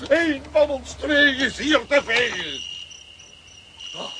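A man's voice: extremely high pitch, expressive, takes it slowly, bright, forceful tone, a little hiss or hum, heavily acted. An actor playing a grumpy, scheming bald man in a children's radio play, blustering and theatrical, with a Flemish accent. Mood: furious. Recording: music playing behind.

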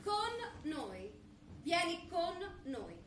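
An actress playing a confident, teasing, classy journalist in a stage comedy. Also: female voice, extremely high pitch, sing-song, very slow, exaggerated voice. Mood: coaxing. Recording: echoey, noisy theatre, distant mic.

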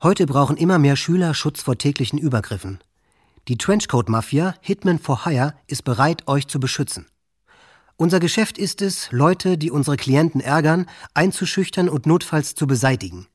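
A man's voice: fairly high pitch, lively intonation, normal speed, husky. An actor playing a coldly menacing, boastful teenage male school shooter in a documentary radio drama. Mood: businesslike threat.

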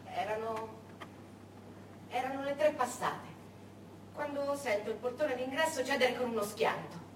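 Actress as halting wartime witness, echoey stage. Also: female voice, high-pitched, fairly bright tone. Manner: halting, repeating, remembering aloud. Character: woman witness recalling a frightening wartime childhood night. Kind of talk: theatrical first-person testimony. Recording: echoey stage, distant mic, faint noise.

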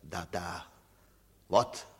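A man's voice: deep voice, drawn-out words, fairly bright tone, slightly rough, full character voice. An actor playing a weary former German legionnaire who tells his story reflectively and bitterly in a 1950s radio drama.

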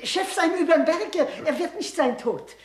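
Timid female servant, very high voice, foreign accent, broken grammar, echoey room, radio drama. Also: lively intonation, normal speed. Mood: eager. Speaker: household servant. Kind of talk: 1960s German radio crime drama acting. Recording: echoey, noisy 1960s radio recording.

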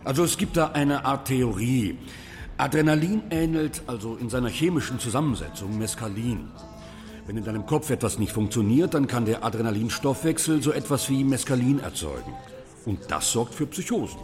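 An actor playing a rock musician who explains a theory conversationally, as if interviewed in a radio play. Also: male voice, medium pitch, animated, moderate pace, darkish tone, natural voice. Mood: speculative. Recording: long reverb, faint music.

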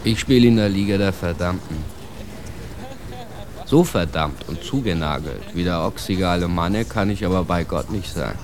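A man's voice: low voice, animated, moderate pace, background noise. An actor playing a proud immigrant man ranting with bitter contempt, in a declamatory slang tirade for a radio drama. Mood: bitter, scornful.

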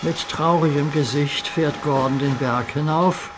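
A man's voice: on the high side, fairly even intonation, measured pace, noisy background. A man narrating a children's radio play in a vivid storytelling manner.

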